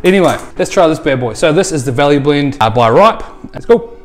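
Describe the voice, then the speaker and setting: A man's voice: on the high side, lively intonation, a little fast. A man in his thirties with a New Zealand accent, chatting casually as he reviews coffee in his home kitchen. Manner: jokey, chatty, playful. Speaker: coffee show host.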